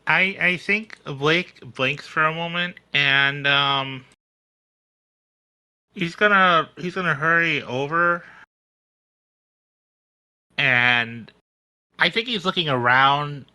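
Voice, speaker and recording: Guy playing tabletop rpg, really bright sharp voice, thinking out loud, kinda hesitant. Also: fairly high pitch, takes it slowly, pauses often, rough recording. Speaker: player in a tabletop roleplaying game. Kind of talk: casual game-table chat.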